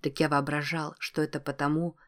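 Audiobook teenage boy played by a woman, quiet, wistful, hesitant, soft-spoken, little pitch movement. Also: little pitch movement, brisk, natural voice. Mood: wistful, confiding. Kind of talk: audiobook character dialogue.

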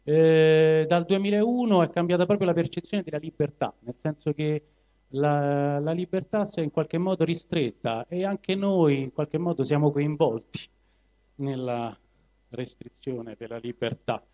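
A man with a Roman Italian accent talking colloquially to a live audience at a poetry reading, halting and digressing as he goes. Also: fairly high pitch, warm tone. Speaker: poetry reader. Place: live evening event in a cinema venue. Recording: dull lo-fi live recording.

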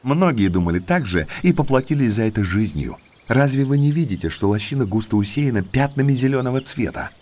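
An actor playing a well-mannered male naturalist in an audio drama, an eager guide to eerie moors who speaks politely and deliberately. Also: mid-range voice, expressive, a little mellow, slight background noise, close to an everyday voice. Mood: grave warning. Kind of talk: Russian audiobook drama acting.

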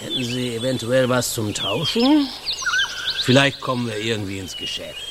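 A man's voice: medium pitch, wildly swooping pitch, normal speed, heavy background noise. An actor playing a wheedling travelling tinker in a radio drama, with colloquial, folksy salesman phrasing. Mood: furtive, coaxing. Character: wheedling, sly male travelling tinker and peddler. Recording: loud outdoor insect and animal ambience.

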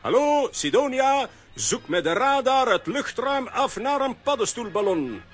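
An eager adventurer hero in a children's radio play. He takes charge in a high, animated voice with faint music behind. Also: a little slow, husky, full character voice. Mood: urgent, decisive.

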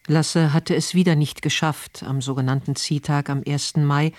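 A man's voice: a little high, darkish tone, no echo. A man presenting on radio, reading a scripted recap of a drama. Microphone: close studio microphone.

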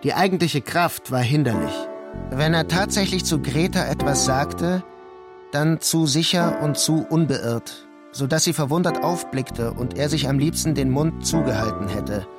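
A man reading scripted literary narration for a radio play in a measured, deliberate way. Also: a little high. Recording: prominent music under the voice. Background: music.

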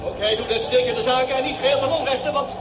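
A very high-pitched excited radio reporter. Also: male voice, fast talker. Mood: eager, descriptive. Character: excited male live radio reporter describing a sky spectacle. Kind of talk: live outdoor commentary in 1960s radio drama. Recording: dull, noisy, echoing vintage broadcast. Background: crowd and street noise.

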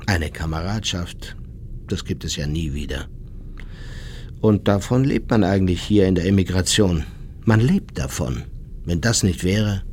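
An actor playing an ageing, unrepentant former SS officer in a radio documentary, husky, blunt and self-justifying as he recalls the war. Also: low voice, a little mellow, slight background noise. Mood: nostalgic, earnest. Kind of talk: dramatised memoir monologue in a radio documentary.

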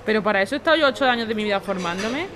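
A woman's voice: mid-range voice, animated, rapid-fire, a bit bright, slight background noise, lightly acted. A woman acting an indignant, snobbish, overqualified jobseeker of thirty-five in an audio drama. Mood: aggrieved. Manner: indignant, complaining. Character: indignant, snobbish 35-year-old overqualified woman seeking work. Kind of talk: audio fiction podcast acting. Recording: busy bar chatter behind.

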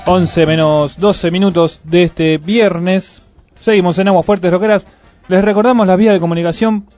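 An Argentine man hosts a small community radio program, his voice sitting a bit high for a man. He reads gig listings and chats with his co-hosts in a loose, relaxed way. Faint music plays underneath. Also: normal speed. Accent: Argentine Spanish. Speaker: radio host. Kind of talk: casual community radio chat.

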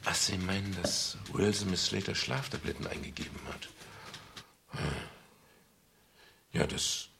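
Gravelly, very deep-voiced private detective. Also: male voice, measured pace, pauses often, background noise. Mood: calm, probing. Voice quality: gravelly, husky. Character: modest, wry male private detective, narrating his case. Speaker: actor in a radio crime drama. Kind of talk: 1970s German radio play, narration and dialogue.

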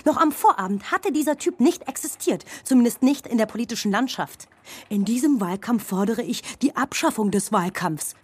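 An actress playing a biting, derisive political commentator in a satirical radio play, with a husky voice and punchy delivery. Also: female voice, a little high, expressive, moderate pace. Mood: mocking.

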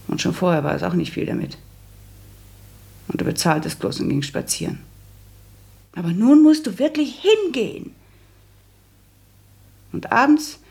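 A practical, bossy young woman in a literary radio drama. Her voice is husky with a creaky grain. She lays things out firmly and matter-of-factly, like someone used to giving orders. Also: medium pitch, darkish tone. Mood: bossy, insistent. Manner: bossy, matter-of-fact, instructive. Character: brisk, practical young daughter bossing her fiancé. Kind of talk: German literary radio play acting.

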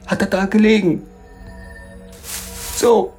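An actor playing a feverish, haunted, jealous poor man in a German radio play, speaking haltingly and often sinking to a mutter. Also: high voice, fairly even intonation, a little mellow, faint music behind.

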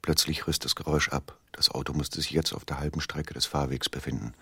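An actor playing a grave armed companion in a German radio drama, narrating in the first person in a measured, sombre way. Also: male voice, a bass voice, steady tone, creaky, bright, forceful tone, slightly rough, dry recording, clean studio sound, exaggerated voice. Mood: tense, sombre.

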